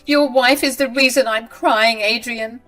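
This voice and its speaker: A woman voicing an angry, self-pitying mother-in-law who talks in a shrill, accusing way. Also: steady tone, unhurried, bright, forceful tone, soft background music. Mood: tearful, accusing.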